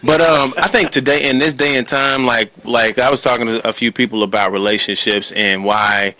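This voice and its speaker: A man's voice: mid-range voice, steady tone, normal speed, pushed and bright, dry recording, poor audio. A man hosting a casual conversational interview, rambling and emphatic, often checking that listeners follow him. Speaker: talk show host.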